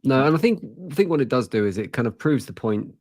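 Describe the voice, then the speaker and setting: A man from the south of England with a southern English accent softened by years in Manchester, a guest talking football in an articulate, self-deprecating way. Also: medium pitch, lively intonation, quick pace, dry recording, clean studio sound. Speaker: guest, rival fan. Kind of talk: casual football chat.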